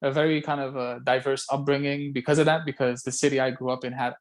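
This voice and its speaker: A man's voice: mid-range voice, flat delivery, quick pace. A man in his thirties from Belgium, a guest talking casually over a video call, thoughtful and often repeating words as he searches for them. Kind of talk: casual conversation. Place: home room by a window.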